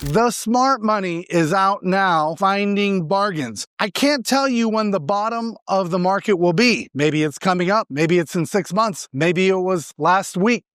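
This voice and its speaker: A man's voice: high-pitched, lively intonation, measured pace, few pauses, a bit bright, smooth, no room sound, studio quality. A man from Argentina speaking as a real estate agent, explaining things informally and emphatically, posing questions and answering them himself. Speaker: real estate agent giving market advice. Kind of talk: informal explanatory monologue to camera.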